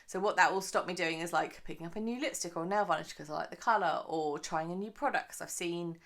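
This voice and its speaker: A woman hosting her own budgeting vlog, a little croaky from the early morning, chatting in a rambling way with lots of fillers. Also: lowish voice, fast talker, fairly bright tone. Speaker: budgeting channel host.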